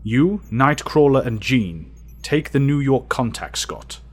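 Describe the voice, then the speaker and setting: Casting: authoritative male mentor-commander acted, audio drama, middle register, deliberate orders. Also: mid-range voice, lively intonation. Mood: brisk, commanding. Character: commanding, methodical male leader of a hero team.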